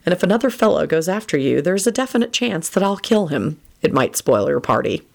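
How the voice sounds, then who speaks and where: Storybook romantic hero, played by a female narrator: young male wizard, brooding, earnest, lowish, creaky. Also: sing-song, fairly quick, a little mellow, exaggerated voice. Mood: worried. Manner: grave, earnest, self-reproaching.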